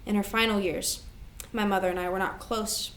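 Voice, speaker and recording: A woman's voice: medium pitch, steady tone, moderate pace, a bit bright, slight background noise, natural voice. A woman playing a responsible, composed elder sister grieving her mother in a staged play reading. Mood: solemn, measured.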